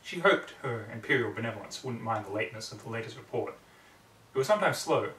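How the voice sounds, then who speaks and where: A man's voice: medium pitch, expressive, fast talker, fairly bright tone, a little hiss or hum. A man narrating a story in a brooding, theatrical way, often in clipped fragments. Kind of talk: dramatic story reading. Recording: roomy echo, distant microphone.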